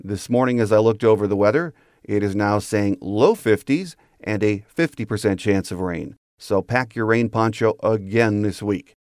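A man's voice: on the low side, normal speed, darkish tone, no echo, clean studio sound. A man hosting a sports podcast, delivering scripted show notes and sign-offs. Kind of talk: scripted sports show narration and interview wrap-up.

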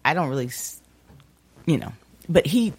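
A woman's voice: low-pitched, animated, normal speed, slightly rough. A woman chatting casually on a panel show, informal and candid, sometimes hesitant.

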